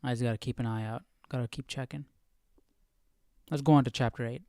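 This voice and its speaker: A man hosting a casual Bible study livestream, thinking aloud in an informal, halting way. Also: medium pitch, steady tone, mellow, no echo, clean studio sound. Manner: informal, halting, muses to himself.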